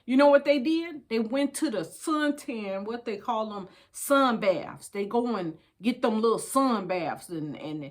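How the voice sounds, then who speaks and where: A woman's voice: a little high, lively intonation, measured pace, hardly any pauses. An older woman with an American accent, chatting casually and reading from a book in a folksy, emphatic way to her listeners. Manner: folksy, addresses listeners as babies, repeats phrases for emphasis. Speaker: storytime host. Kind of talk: casual chat with book read-aloud.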